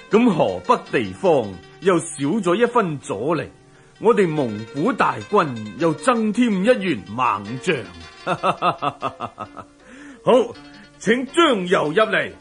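An actor playing a magnanimous, commanding Mongol prince in a historical radio drama, with a hearty, unhurried manner. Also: male voice, a little high, very animated, fairly bright tone, soft background music, heavily acted. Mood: pleased, hearty. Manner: hearty, unhurried, laughing boastfully.

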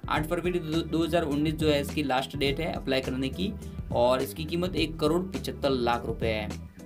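Male news presenter, higher-pitched, casual conversational read over background music. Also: moderate pace. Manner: conversational, with many fillers and restarts.

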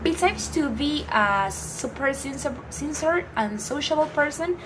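A teenage girl with an Ecuadorian Spanish accent speaks in a fairly high voice, stumbling cheerfully through English that is not her first language. She talks to a phone held at arm's length in a small room, and a steady hiss of noise sits under the recording. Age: teens. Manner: halting, cheerful, searching for English words. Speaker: student vlogger. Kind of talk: casual self-introduction to camera. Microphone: phone front camera at arm's length.

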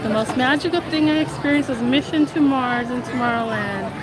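A woman's voice: sits high, brisk, poor audio. A woman with a slightly nasal voice, getting over a cold, chatting casually and laughing often as she walks through a crowded theme park, filming with a handheld phone. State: recovering from a cold, a little nasal. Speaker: vlog host. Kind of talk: casual live-stream chat while walking. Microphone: handheld phone camera while walking. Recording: loud crowd and park music behind. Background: crowd chatter and park music.

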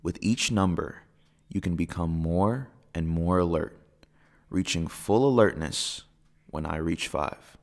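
Male meditation guide: deep creaky register, very unhurried, soothing, spacious phrasing for breathing exercises. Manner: soothing, gentle, measured delivery with pauses.

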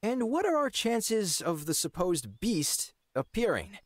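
Wry young lord, animated delivery. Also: male voice, high voice, a little slow, no echo, studio quality, full character voice. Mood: wry, puzzled. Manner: wry, incredulous. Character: young male lord, wry, exasperated, earnest. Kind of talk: fantasy game character acting.

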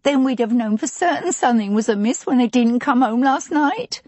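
A woman voicing a plump, tearful older housekeeper who talks on anxiously and pleadingly, with a breathy voice. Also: on the high side, animated, normal speed, a little mellow, no room sound, pitched above the performer's own voice.

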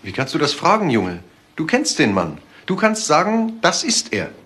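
A man's voice: fairly high pitch, wildly swooping pitch, moderate pace, fairly bright tone, a little hiss or hum. An actor playing an eager, self-important father who is a witness in a crime radio play, officious and pushy. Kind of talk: German radio crime drama acting.

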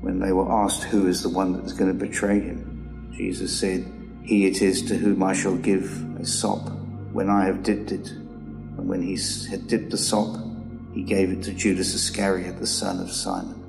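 Deep gravelly preacher in an echoey hall. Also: male voice, little pitch movement, moderate pace. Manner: earnest, uses tag questions. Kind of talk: sermon with scripture reading. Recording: echoey hall with music behind.

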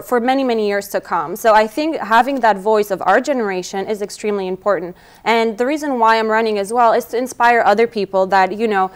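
Earnest young woman, smooth clear voice. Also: mid-range voice. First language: not English. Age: 20s. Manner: earnest, eager, fluent with fillers. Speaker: political candidate, guest. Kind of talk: studio interview answers. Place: TV studio. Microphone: lapel.

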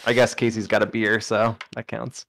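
A man's voice: lowish voice, little pitch movement, moderate pace, creaky, fairly bright tone. A man playing in a tabletop game on a video call, chatting and interrupting to make a point. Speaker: player. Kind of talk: casual chat during a tabletop game.

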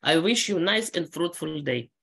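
A woman's voice: deep voice, expressive, moderate pace, vocal fry, breathy edge. A middle-aged woman from Moldova who lives in Israel, speaking non-native English, reads a prepared webinar welcome and stumbles over words. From Moldova, long living in Israel. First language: not English. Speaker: chamber of commerce chairwoman. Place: indoor room, on a video call.

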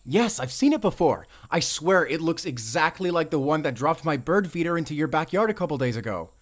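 Audio drama role, male actor: excitable young male ranger, American accent, chatty and friendly. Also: fairly high pitch, brisk. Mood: excited. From Arizona, US. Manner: talkative, eager, rambling, with small chuckles. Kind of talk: roleplay audio drama addressed to the listener.

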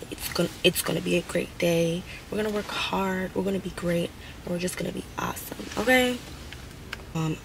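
A woman's voice: on the low side, creaky, a little mellow, slight background noise, low-quality recording. A young American woman chatting casually to viewers in a vlog. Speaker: lifestyle vlogger.

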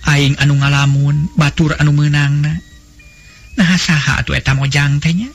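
A woman's voice: low voice, level tone, normal speed, voice pushed up. A man voicing a dreamy young princess who is wistful and easily frightened, speaking in sighs and startled gasps. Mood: startled, fretful. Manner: sighing, breathless and startled. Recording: faint music behind.

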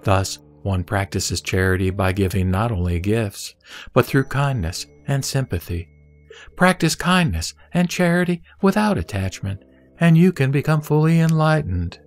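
Male actor as serene spiritual master, mid-pitched, solemn and didactic, instructing a disciple over background music. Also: lively intonation, lightly acted. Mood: calm, instructive. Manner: measured, solemn, didactic delivery. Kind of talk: audio drama scripture reading.

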